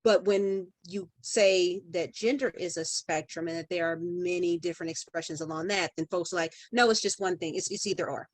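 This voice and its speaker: A woman's voice: on the low side, fairly even intonation, moderate pace, few pauses, no room sound. A woman speaking as a podcast guest in conversation, emphatic and building her points through repeated phrases.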